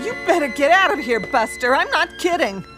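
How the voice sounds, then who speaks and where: High-voiced, wary gangster's girlfriend. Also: very animated, brisk, music in the background. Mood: warning. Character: wary, sharp-tongued female kept girlfriend of a gangster. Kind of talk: radio drama acting.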